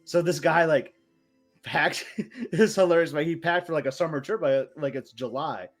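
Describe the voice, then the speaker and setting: A man co-hosting a podcast, chatting casually and telling stories with enthusiasm and quick asides. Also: a little high, soft background music. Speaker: podcast co-host. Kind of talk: casual chat.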